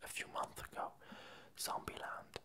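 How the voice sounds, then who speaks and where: Slow, whispered ASMR rambling. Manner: hesitant, unhurried, meandering. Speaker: ASMR channel host.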